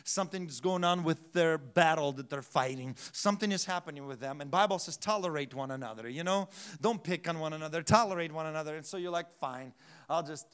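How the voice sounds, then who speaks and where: Bright high-pitched preaching pastor. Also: male voice, brisk, clear, resonant tone. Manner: preaching with surges into shouting and quiet drops. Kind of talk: sermon.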